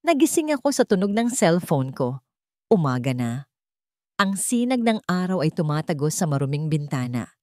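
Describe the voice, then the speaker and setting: Woman, lower-pitched voice, dry close sound, dramatic audiobook narration. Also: sing-song, moderate pace, darkish tone, no room sound. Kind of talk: dramatic first-person scripted narration.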